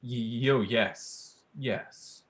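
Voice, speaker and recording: A man's voice: medium pitch, very slow. A young man acting a polite, cagey male visitor with a hesitant manner in a staged play reading. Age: young adult.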